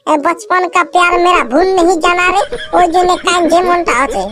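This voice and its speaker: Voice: extremely high pitch, little pitch movement, a little fast, over a noisy crowd. A performer with a Purulia Bengali accent voicing a brash, scheming village boy in a comedy cartoon, boastful and whining in rural dialect. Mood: mocking. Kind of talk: exaggerated comedy cartoon dialogue.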